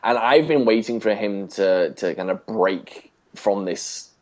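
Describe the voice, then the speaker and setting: A man with a fairly low voice chats casually as a podcast co-host. He speaks haltingly, often rewording his thoughts as he goes along. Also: darkish tone, rough recording. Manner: hesitant, halting, rephrasing as he goes. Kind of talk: casual podcast discussion of a TV show.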